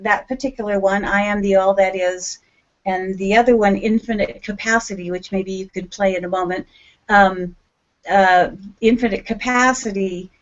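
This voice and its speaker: Woman, interview guest, earnest, rambling, mid pitch, dull lo-fi sound. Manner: earnest, rambling, with many restarts and fillers. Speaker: guest singer-songwriter. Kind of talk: casual interview chat.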